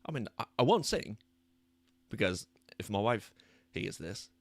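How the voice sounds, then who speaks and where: A British man hosting a soccer podcast in a chatty, self-deprecating way, his voice a little croaky. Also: fairly low pitch, sing-song, a little slow, dry recording. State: slightly croaky voice. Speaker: podcast host. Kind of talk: casual chat. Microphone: new microphone.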